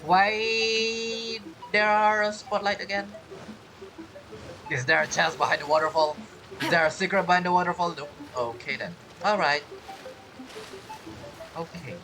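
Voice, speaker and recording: A woman's voice: lowish voice, expressive, a little slow, pauses often, pushed and bright, rough recording. A woman giving casual video game playthrough commentary, rambling and thinking aloud with questions to herself. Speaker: gamer, video creator. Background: game music.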